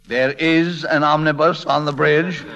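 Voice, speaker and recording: An actor playing a proud, flustered painter husband in 1940s radio comedy, blustering and emphatic, on a muffled old recording. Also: male voice, a little high, fairly even intonation, measured pace, a bit bright. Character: proud, flustered, indignant painter husband claiming secret fame.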